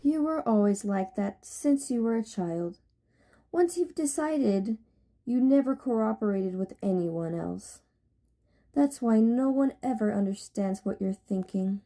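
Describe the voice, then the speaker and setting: Woman acting reproachful big sister, swooping pitch. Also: lively intonation, a little slow, mellow. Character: protective, reproachful older sister from a tribe. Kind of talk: dramatic manga dub acting.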